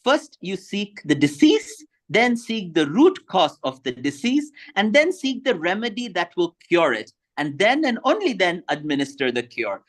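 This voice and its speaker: A man's voice: sits high, sing-song, measured pace, hardly any pauses, fairly bright tone. A man whose first language is Tamil, giving a thoughtful slide presentation and rising in emphasis on key points.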